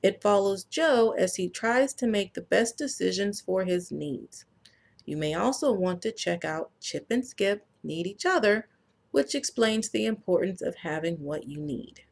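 A woman reads her own children's picture book aloud at an unhurried pace. Her voice carries a gravelly, creaky edge, and her reading is measured and gentle. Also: medium pitch, expressive. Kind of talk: read-aloud book narration.

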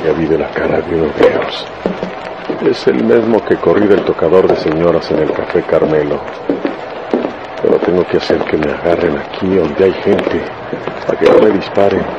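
An actor playing a brave, mocking rural detective in a radio drama, with a folksy Mexican accent. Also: male voice, deep voice, normal speed, highs cut off. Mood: wary muttering. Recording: noisy old radio drama, street traffic effects.

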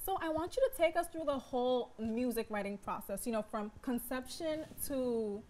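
A woman's voice: fairly high pitch, lively intonation, normal speed, darkish tone, a little hiss or hum. A young woman co-hosting a studio talk show, upbeat and teasing as she reads an introduction and asks questions. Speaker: co-host. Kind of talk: reading a guest introduction, then studio chat.

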